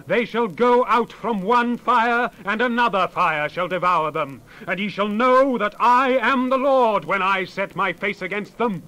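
An actor playing a stern prophet who proclaims God's judgment in an emphatic, declamatory dramatized scripture reading. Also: male voice, high-pitched, measured pace, few pauses, bright, forceful tone, poor audio. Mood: stern, condemning.

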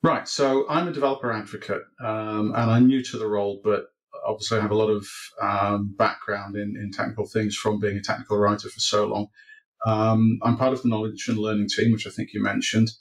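A man's voice: fairly low pitch, level tone, brisk, rough recording. A middle-aged man from Wales answering interview questions on a video call from his home office, hesitant, with many ums and restarts. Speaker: interview guest, developer advocate. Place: home office with bookshelves, indoors. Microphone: video call webcam.

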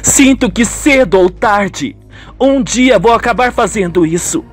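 A man's voice: sits high, lively intonation, measured pace, soft background music, pitched above the performer's own voice. A man voicing a troubled, suspicious wife and mother in a dramatic, plaintive radio soap style. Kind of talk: radio soap opera acting.

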